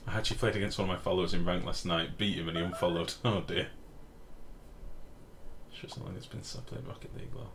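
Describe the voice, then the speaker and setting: A man with a deep, booming voice streams a game over faint background noise. He talks informally, swearing now and then, and moves between commentary on play and replies to his viewers. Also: fairly even intonation, quick pace, creaky, pauses often, a bit bright. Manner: informal, sweary, reads and answers chat. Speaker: game livestreamer. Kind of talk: casual live gaming commentary, chatting with viewers.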